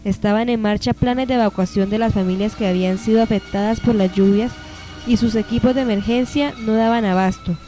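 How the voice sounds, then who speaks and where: A woman's voice: mid-range voice, fairly even intonation, brisk. A woman reading scripted narration for a radio drama. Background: soft music.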